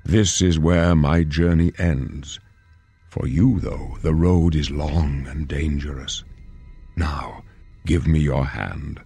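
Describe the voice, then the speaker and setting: An actor playing a solemn old emperor in a fantasy game, stately, measured and prophetic. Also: male voice, extremely low pitch, fairly even intonation, gravelly, warm tone, husky, no room sound, soft background music, heavily acted. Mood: resigned, tender. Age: older. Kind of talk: grave fantasy game acting.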